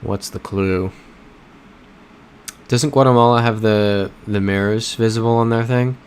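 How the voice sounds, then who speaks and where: A man with an American accent chats casually on a live stream in a low voice, reading viewers' messages back to them. He talks through his reasoning as he goes, and a faint hiss sits under the recording. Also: steady tone, unhurried, darkish tone. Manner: reads chat messages aloud and reasons through map clues.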